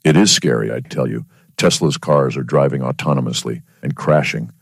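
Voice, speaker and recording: A man's voice: rumbling low, normal speed, creaky, husky, no room sound, studio quality. A male radio co-host with a stuffy cold, presenting a scripted segment in a wry, dramatic way.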